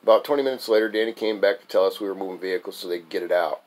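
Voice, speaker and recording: A man's voice: sits low, rapid-fire. A man reading his own first-person story aloud as its author, in a recitation style with the occasional stumble over the text.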